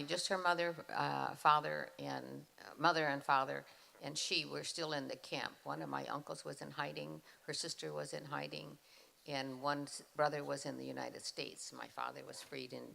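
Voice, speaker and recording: Woman, deep and heavily gravelly, earnest measured retelling. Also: pushed and bright, low-quality recording. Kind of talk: retelling family history at a school event. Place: school event hall.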